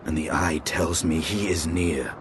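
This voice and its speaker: An actor playing a grim, proud, dragon-slaying knight in a fantasy game, stern, formal and measured. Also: male voice, very deep voice, fairly even intonation, noisy background. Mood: resolute. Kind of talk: archaic fantasy game cutscene acting.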